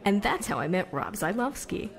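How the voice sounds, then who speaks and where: A woman's voice: medium pitch, big pitch swings, normal speed, creaky. An actress playing a chatty, self-deprecating young American woman who wants fame, telling stories on stage in an audio drama. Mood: chatty, confiding. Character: chatty young Somerville woman set on becoming famous. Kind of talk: conversational stage storytelling monologue.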